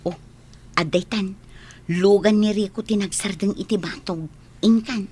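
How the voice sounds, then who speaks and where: A woman's voice: mid-range voice, unhurried, dark tone, breathy edge. An actress playing a loyal, sympathetic young woman in a radio drama, talking in a familiar, concerned manner. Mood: encouraging.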